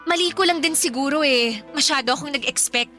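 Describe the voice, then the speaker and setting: An actress playing a blunt, snobbish young girlfriend with a bratty, sharp-tongued manner in a Filipino radio drama. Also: high-pitched, brisk, soft background music. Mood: defiant, scornful.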